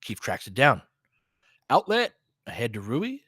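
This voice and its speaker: American male fan-stream sports host, bright forward voice, very dry sound, informal and opinionated play-by-play. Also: medium pitch, huge pitch swings, measured pace, breathy edge, no room sound. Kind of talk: basketball play-by-play mixed with casual fan chat.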